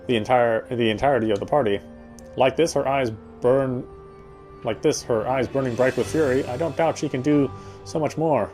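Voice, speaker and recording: Man reading game narration over music. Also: mid-range voice. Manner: expressive reading with stumbles over words. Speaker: game streamer. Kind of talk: reading visual novel narration aloud.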